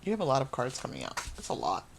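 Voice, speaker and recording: A woman's voice: a bass voice, wildly swooping pitch, rapid-fire, gravelly, a little hiss or hum. A woman hosting an unscripted spiritual tarot reading, speaking earnestly and emphatically to viewers, often repeating and rephrasing her phrases. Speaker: tarot reader hosting a channel.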